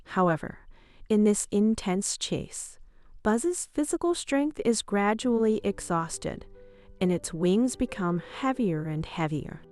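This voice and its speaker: A woman's voice: medium pitch, animated, slow, a little mellow. Woman narrating a children's story over music.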